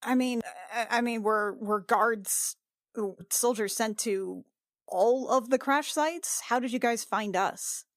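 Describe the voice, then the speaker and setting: A woman playing a tabletop roleplaying game, speaking casually and haltingly as she improvises dialogue. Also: on the high side, dry recording, studio quality.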